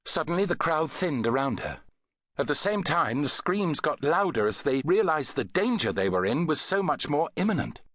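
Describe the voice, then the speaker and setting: A man reading an adventure novel as an audiobook narrator, in an expressive, dramatic storytelling manner. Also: fairly high pitch, normal speed, no echo, clean studio sound.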